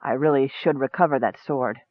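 A man voicing a troubled, resolute male elf in a fantasy audiobook, measured and formal. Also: medium pitch, no room sound, lo-fi sound, pitched below the performer's own voice, close to an everyday voice. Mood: troubled resolve.

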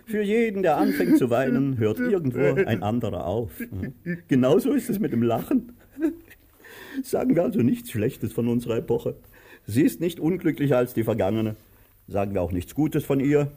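Theatrical older tyrant, mellow tone, swooping intonation, grand and self-pitying, radio drama. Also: male voice, medium pitch, big pitch swings, moderate pace, husky. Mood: grandly mocking. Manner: pompous, theatrical declaiming, swinging between grandeur and whining. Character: pompous, volatile, cruel master, about sixty, self-pitying and theatrical. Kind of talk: radio drama stage acting.

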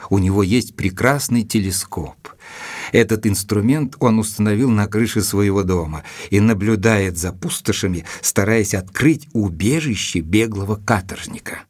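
An actor playing an observant, loyal gentleman who reports a mystery in a measured, formal, deliberate way, as in an audio drama. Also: fairly low pitch, dry recording, lightly acted. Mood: measured, reflective. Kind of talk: scripted audio drama narration, reading out a letter. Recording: studio recording with music underneath.

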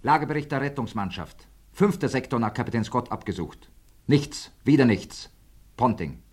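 An actor voicing a rescue team member reading a clipped official report. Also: male voice, mid-range voice, lively intonation, normal speed, slightly rough. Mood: matter-of-fact.

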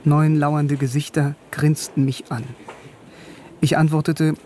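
An actor playing a wry, reflective East German philosopher and former soldier, narrating and talking in a radio drama. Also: male voice, fairly high pitch, little pitch movement, takes it slowly, dark tone, a little hiss or hum. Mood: reflective narration. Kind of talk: radio drama first-person narration and dialogue.

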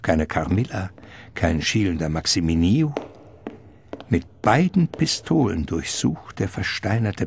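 Gothic radio drama narrator: low gravelly man, slow and theatrical, swooping delivery, faint music. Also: a little hiss or hum, full character voice. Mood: ominous. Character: darkly theatrical narrator of a gothic vampire tale.

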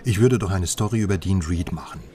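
A wry, knowing newspaper man, played by an actor, with a low voice. He confides in clipped, conspiratorial phrases, as in a radio crime play. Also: fast talker, warm tone, natural voice. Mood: wry, amused. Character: male journalist informant, wry, knowing and conspiratorial. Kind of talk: radio drama dialogue.

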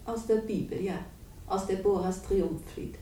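A woman's voice: a little slow, warm tone, slight background noise, lightly acted. An actress playing a cold, manipulative woman in a radio crime drama, speaking with smug irony and cool amusement. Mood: solemn, reciting. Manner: smug, ironic, coolly amused. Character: cold, manipulative, mocking woman confessing her schemes. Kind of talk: 1960s German radio crime drama acting. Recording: roomy, distant, faintly rumbling radio recording.

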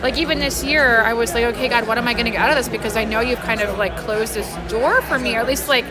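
Female interview guest, fairly high voice, chatty personal storytelling that voices quoted exchanges, music and crowd behind. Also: fast talker. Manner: chatty, acts out quoted dialogue. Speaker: interview guest, editor in training. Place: busy public convention space. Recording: busy public space with loud music, chatter.

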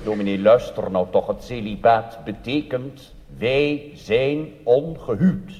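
Man playing a weary, pedantic priest, lowered voice. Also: mellow, a little hiss or hum, close to an everyday voice. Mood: patient correction. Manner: measured, patient, correcting. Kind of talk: comic stage dialogue. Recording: live hall with long reverb.

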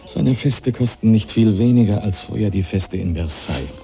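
An actor playing a cynical, gossiping gentleman of revolutionary Paris in a German radio play, dry, mocking and insinuating. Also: lowish voice, moderate pace, vocal fry, faint music behind.

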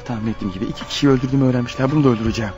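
A man's voice: medium pitch, rapid-fire, a little mellow, faint music behind, natural voice. A man acting in a radio play as a panicky, paranoid killer husband on the run, stammering and muttering anxiously to himself. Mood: tense muttering. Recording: dull lo-fi radio drama recording.

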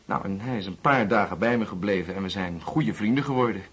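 Young lonely orphan, very breathy husky voice, halting, apologetic, polite, radio play. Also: male voice, lowish voice, a bit bright. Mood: earnest, regretful. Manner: halting, apologetic, with self-corrections. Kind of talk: Dutch radio play acting.

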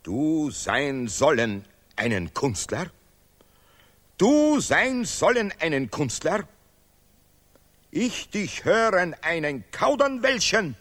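In a long, ringing reverb, a pompous scholar declaims for a stylized radio play. His fairly high voice swoops widely as he chants broken, repeated phrases with stubborn contempt. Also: big pitch swings, slow, long pauses, fairly bright tone, heavily acted. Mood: mocking. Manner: declamatory, emphatic, chanting broken German with repetitions. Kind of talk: stylized avant-garde radio play acting.

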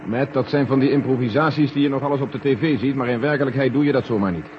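An actor playing an authoritative, deliberate space agency general in a 1970 Dutch radio drama. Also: male voice, medium pitch, close to an everyday voice. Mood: decisive. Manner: deliberate, commanding. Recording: dull, hissy 1970 radio recording.

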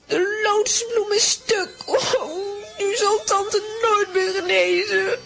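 A woman's voice: extremely high pitch, steady tone, slow pace, gravelly, fairly bright tone, soft background music, full character voice. A woman playing an emotional, tender little girl in a children's radio play. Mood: tearful despair.

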